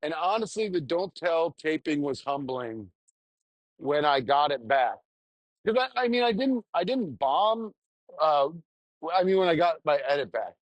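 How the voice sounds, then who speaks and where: A young adult man, a stand-up comedian, rambling casually and candidly in a halting, self-reflective way with some casual swearing. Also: a little high, very animated, dry recording, low-quality recording. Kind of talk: casual rambling monologue, audio journal.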